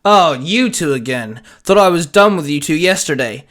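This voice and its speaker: Man playing a sneering, high-pitched boy villain. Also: expressive, normal speed, a bit bright. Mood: snarling. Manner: snarling, mocking, cackling. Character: sneering boy villain, scornful young Pokemon battler.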